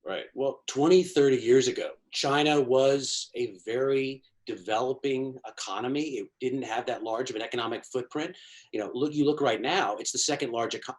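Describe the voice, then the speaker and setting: A middle-aged man from Washington, D.C., giving long, fluent, emphatic answers as an interview guest. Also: mid-range voice. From Washington, D.C., USA. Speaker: guest, China economy analyst.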